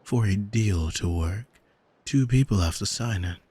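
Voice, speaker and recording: A man playing an arrogant demon in an ASMR audio drama, smug and teasing, speaking deliberately and intimately to the listener with a husky voice, close on the microphone. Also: lowish voice, warm tone, no room sound. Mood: taunting. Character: arrogant male demon who owns a soul, smug, taunting. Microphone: close studio microphone, dry.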